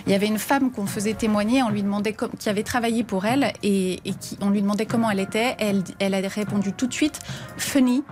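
A woman commenting on the radio, speaking quickly and fluently with some hesitant fillers. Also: mid-range voice, fairly even intonation, a little mellow, soft background music. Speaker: studio commentator.

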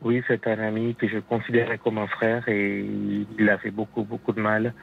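Halting gravelly man calling in. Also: lowish voice, flat delivery, a little hiss or hum. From Asia. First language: not French. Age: 40s. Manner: hesitant, halting, nervous self-deprecating laughs. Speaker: caller. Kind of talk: late-night radio call-in confession. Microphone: telephone. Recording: dull lo-fi phone line into radio studio.

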